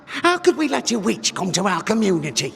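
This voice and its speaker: A male actor playing an angry, pious Puritan settler in a video game, raspy and gravelly, with archaic speech in long reverb. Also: high-pitched, huge pitch swings, a little fast, a bit bright, faint music behind, full character voice. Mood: indignant. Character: angry zealous Puritan settler man, vengeful ghostly echo. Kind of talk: dramatic video game acting.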